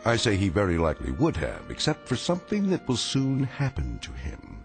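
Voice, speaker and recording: An actor as the wry, ominous narrator of an eerie radio drama, speaking in a measured, theatrical way. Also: male voice, lowish voice, wildly swooping pitch, gravelly, faint music behind, full character voice. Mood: wry, portentous.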